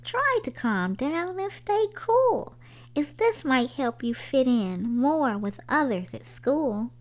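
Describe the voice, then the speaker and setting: A woman voicing a friendly fluffy monster pal in a storybook, giving measured advice. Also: high-pitched, sing-song, heavily acted. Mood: advising.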